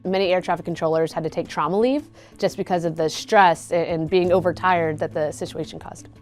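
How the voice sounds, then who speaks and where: A woman comments in an interview as an advocate. Her voice is a bit low for a woman. Faint music sits behind it. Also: a little fast.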